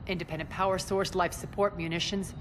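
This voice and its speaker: An actress playing a ruthless, commanding crime boss in a video game, giving clipped, imperious orders. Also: female voice, fairly low pitch, steady tone, brisk, background noise, natural voice. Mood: curt, commanding. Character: commanding, ruthless female crime boss leading her own soldiers. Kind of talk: video game cutscene acting. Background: music.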